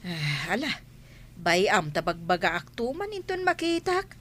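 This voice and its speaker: A woman's voice: mid-range voice, big pitch swings, unhurried, fairly bright tone. A woman playing a blunt, scolding village gossip in an Ilocano comedy radio drama, questioning and emphatic. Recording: faint background noise.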